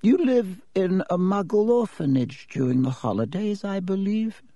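A man voicing a frail, feeble old male wizard headmaster who talks slowly and kindly in a thin, quavering voice. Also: high voice, lively intonation, warm tone, no echo, studio quality, pitched above the performer's own voice. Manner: slow, fussy and kindly. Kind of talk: audiobook character voice.

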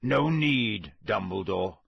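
A man performs an irritable old wizard in a fantasy audiobook. The voice is a low, wheezy growl with a raspy edge, gruff and short-tempered. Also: animated, slow, a bit bright, no room sound, studio quality, exaggerated voice. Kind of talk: dramatised audiobook reading.

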